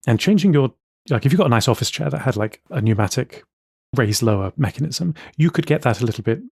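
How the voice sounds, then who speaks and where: A man's voice: medium pitch, lively intonation, fast, vocal fry, darkish tone, slightly rough, dry recording, clean studio sound. A man in his thirties co-hosting a casual podcast chat, often restarting and repeating words as he explains. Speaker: podcast co-host.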